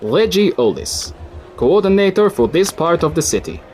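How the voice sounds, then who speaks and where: An actor playing a courteous, apologetic city coordinator who turns quietly menacing, in an audio drama. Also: male voice, on the high side, big pitch swings, normal speed, a little hiss or hum. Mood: friendly. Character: polite but sinister city coordinator man. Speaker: coordinator of a recycling facility.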